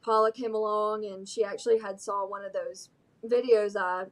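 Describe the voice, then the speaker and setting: A woman's voice: mid-range voice, steady tone, moderate pace, poor audio. A young woman from Alabama with a Southern American accent, chatting as a guest singer-songwriter in a casual interview, bubbly and giggly with lots of fillers. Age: young adult.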